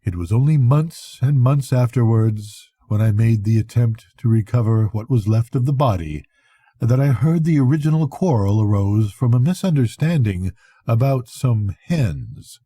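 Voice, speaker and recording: An older man, a grandfather, reading a literary bedtime story aloud in an unhurried, conversational storytelling manner with a husky voice. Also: medium pitch, lively intonation, mellow, no room sound. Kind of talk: expressive literary audiobook reading.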